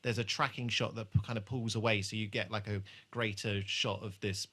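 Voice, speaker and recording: A man with a clear-cut, bright voice co-hosts a podcast. He reviews television in loose, conversational talk, peppered with swearing. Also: fairly low pitch, fairly even intonation, a little fast. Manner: informal, sweary. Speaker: podcast host. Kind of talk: casual chat reviewing a TV episode.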